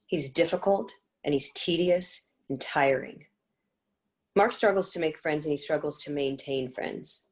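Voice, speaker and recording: A woman reading scripted narration for a play, introducing characters as the narrator. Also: low voice.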